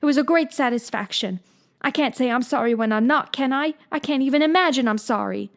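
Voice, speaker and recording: Woman reader doing a fiery orphan girl: high, impassioned, pleading. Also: fairly quick. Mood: defiant. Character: fiery, imaginative, dramatic young orphan girl.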